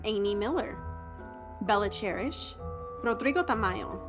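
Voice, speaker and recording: A woman's voice: mid-range voice, animated, unhurried. A woman reading scripted credits as an announcer for an audio drama. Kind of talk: scripted narration. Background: music.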